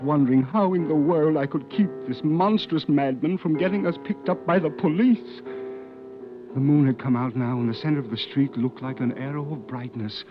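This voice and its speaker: Guy acting as nervy smuggler telling his story, vintage radio drama, highish male voice, muffled old recording. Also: lightly acted. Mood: grim, tense.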